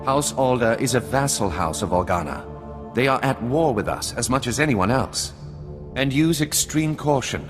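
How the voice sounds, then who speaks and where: A man acts a composed noble schemer for a video game. His mid-pitched voice moves expressively, formal yet wry. It rings in a long hall over light music. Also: expressive, normal speed, close to an everyday voice. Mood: wry, businesslike. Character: composed, calculating nobleman spymaster, courtly and dry.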